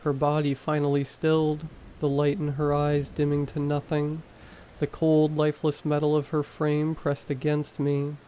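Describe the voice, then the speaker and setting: A man tells horror stories in a calm, measured way. His mellow voice stays flat and level. A faint patter of rain sits in the background. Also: on the high side, slight background noise, poor audio. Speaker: horror story narrator. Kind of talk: scripted narration.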